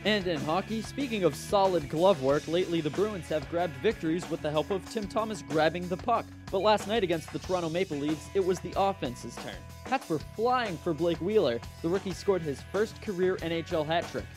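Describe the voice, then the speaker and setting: A man's voice: high-pitched, fairly quick, few pauses, over music. A man presenting radio news, sports updates and sports talk as an anchor and host. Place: radio studio.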